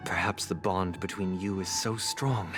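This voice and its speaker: An actor voicing a composed young male antagonist in a video game, formal, measured and theatrical. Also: low voice, steady tone, music in the background. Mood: composed menace.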